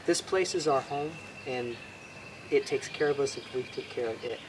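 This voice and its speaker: A man from Hawaii, a bushcraft instructor, chatting casually as a guest, relaxed and rambling with many restarts. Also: medium pitch, normal speed, background noise. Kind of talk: casual interview chat.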